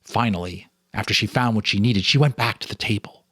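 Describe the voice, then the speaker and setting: A man giving a dramatic reading of a ghost story, with suspenseful, deliberate phrasing and dramatic pauses. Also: lowish voice, pushed and bright, slightly rough, no echo, clean studio sound. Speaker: storyteller, narrator and host.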